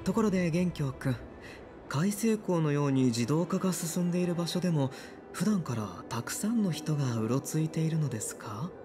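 An actor playing a polite, composed male military doctor in a video game, speaking in a courteous, measured, formal way. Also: fairly high pitch, animated, over music, natural voice. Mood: polite, reserved.

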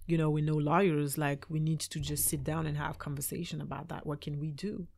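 A young woman raised in Belgium, a French native speaker, chatting as a guest on a podcast in a small studio, animated and gesturing with her hands. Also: low voice, creaky. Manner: animated, talks with her hands, quick laughs. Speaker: guest, choreographer and dancer. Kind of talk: casual interview chat. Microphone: studio mic on boom arm.

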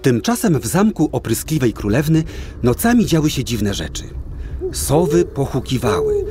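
Guy narrating a fairy tale, expressive, music under it. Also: on the high side, moderate pace, slight background noise.

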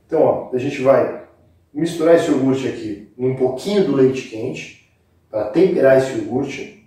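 A man's voice: medium pitch, expressive, measured pace, warm tone. Echoey Brazilian man giving cooking instructions. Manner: informal, instructive, addressing viewers directly. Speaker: cooking show host. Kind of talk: casual step-by-step recipe demonstration. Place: indoor kitchen set with brick wall. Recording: very echoey room, distant microphone.

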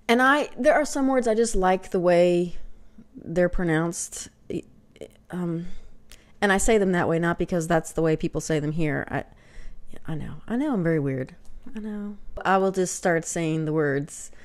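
Middle-aged woman, light Texas accent, lower register, casual self-mocking webcam vlogger at her desk. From Texas, USA. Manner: chatty, self-deprecating, filler-heavy, drops to whispers. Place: small home room with shelves, indoors.

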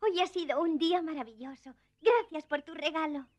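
An actress playing a gentle, polite and frail young girl in a dubbed children's cartoon, sweet and earnest. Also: high-pitched, a little fast, close to an everyday voice. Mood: wistful.

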